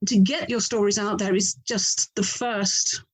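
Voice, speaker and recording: A woman's voice: medium pitch, steady tone, measured pace, husky. A middle-aged woman with an English accent answers interview questions conversationally, in a chatty, reflective way, often interrupting herself. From England. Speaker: author and audio drama producer being interviewed.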